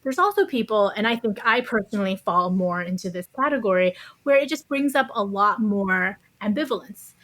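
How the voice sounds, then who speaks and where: A woman's voice: medium pitch, moderate pace, few pauses, fairly bright tone. A woman speaking as a podcast guest, explaining her views conversationally in a thoughtful, carefully qualified way. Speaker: interview guest, author.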